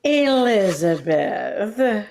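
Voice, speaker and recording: A woman's voice: medium pitch, very animated, very slow, a little mellow, heavily acted. A woman playing a sugary, needling older mother-in-law in an old-time radio comedy, with a singsong manner. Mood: gushing. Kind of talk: 1940s radio comedy acting.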